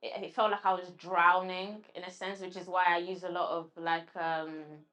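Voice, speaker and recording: Woman poet, halting and reflective. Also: lowish voice, level tone, fairly bright tone. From London. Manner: halting, searching for words with restarts when answering. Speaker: guest poet. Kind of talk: spoken word recital and interview answers.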